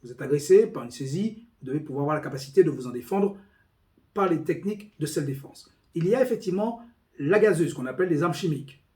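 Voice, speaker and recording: Man, fairly high pitch, soft mellow tone, formal and emphatic, union representative's report. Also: lively intonation, quick pace. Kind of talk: spoken meeting report with quoted documents.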